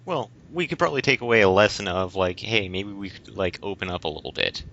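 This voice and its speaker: Very deep-voiced man with a bright edge. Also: lively intonation, fast. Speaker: podcast co-host. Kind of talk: casual discussion of video games. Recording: dull lo-fi close voice recording.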